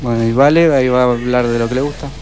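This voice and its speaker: Male band guest, Argentine accent, mid-pitched, casual studio chat, faint music bed. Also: steady tone, quick pace. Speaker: band member, interview guest. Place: radio studio. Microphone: shared studio microphone.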